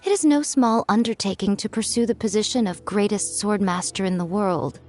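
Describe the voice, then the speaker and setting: An actress playing a sly, elegant noblewoman in a game, speaking with teasing, composed calm. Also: medium pitch, fairly quick, faint music behind, close to an everyday voice. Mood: knowing.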